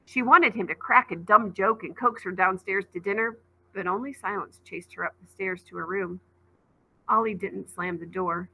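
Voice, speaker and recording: A woman reads a children's novel aloud in an expressive teacherly way. Her voice is bright and forward, with a middle pitch, and she narrates the story with clear feeling. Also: normal speed, low-quality recording.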